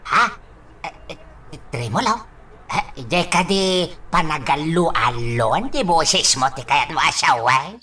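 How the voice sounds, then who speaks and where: Swooping, excitable village elder. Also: female voice, low voice, slow, slight background noise, full character voice. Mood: teasing, amazed. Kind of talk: Ilocano radio drama acting.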